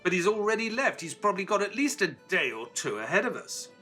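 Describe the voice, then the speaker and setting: A man playing an earnest, excitable Victorian gentleman, a detective's companion and narrator, in an audio drama. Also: high-pitched, fast, soft background music, full character voice. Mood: puzzled.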